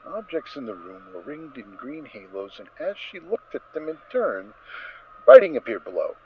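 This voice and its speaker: A male narrator gives a dramatic story reading with theatrical emphasis. His pitch is mid-range and swings freely with the telling, on a slightly muffled recording with faint noise. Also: big pitch swings, normal speed.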